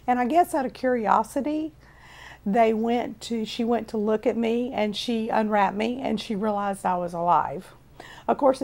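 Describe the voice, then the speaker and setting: A woman in her fifties from Florida with a hoarse, raspy voice, telling her own life story in a plain, unhurried way. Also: on the high side, darkish tone. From Florida, USA. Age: 50s. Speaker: testimony speaker.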